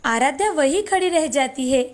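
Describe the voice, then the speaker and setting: A woman narrating a children's moral story in a steady, expressive scripted reading. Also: high-pitched, moderate pace.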